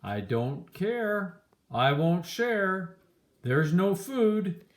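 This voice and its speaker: Woman doing emphatic, slow, stubborn villagers. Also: lowish voice, animated. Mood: dismissive. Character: assorted villagers, grudging then eager to outdo each other.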